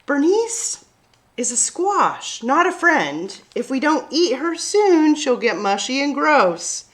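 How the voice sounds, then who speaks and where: A woman's voice: high-pitched, very animated, takes it slowly, a bit bright, full character voice. A woman voicing a practical storybook mother who coaxes and reasons with her young child. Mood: coaxing. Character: practical, coaxing storybook mother of a little girl.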